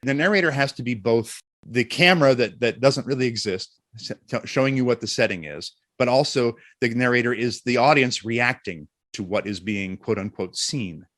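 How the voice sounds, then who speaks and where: A man's voice: mid-range voice, moderate pace, a bit bright, no echo. A man with a mid-range voice speaks as an interview guest. He explains things in a thoughtful, conversational way, recorded close and dry. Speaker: voice actor and narrator, interview guest.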